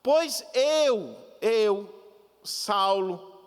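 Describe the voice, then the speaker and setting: Male preacher doing a zealous ancient apostle, high and forceful, church echo. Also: animated, very slow, clean tone, heavily acted. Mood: indignant. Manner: emphatic, indignant rhetorical questions.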